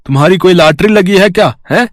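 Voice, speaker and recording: A man's voice: sits high, brisk, dry recording, studio quality, natural voice. A man playing a worried, suspicious working-class husband in a cartoon story, earnest and questioning. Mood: suspicious, confronting. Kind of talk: Hindi cartoon story dialogue.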